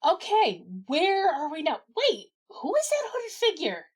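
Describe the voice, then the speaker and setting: A sensible gnome, played by a woman, takes charge in a high, very lively voice. The delivery suits an amateur comic fantasy audio play. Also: a little slow, slight background noise, full character voice. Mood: puzzled, managing.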